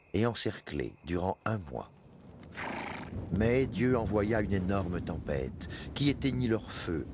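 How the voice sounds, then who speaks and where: Deep, solemn devout storyteller. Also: male voice, noisy background, full character voice. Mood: solemn, triumphant. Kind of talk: dramatic scripted narration for an animated film. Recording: music under the voice.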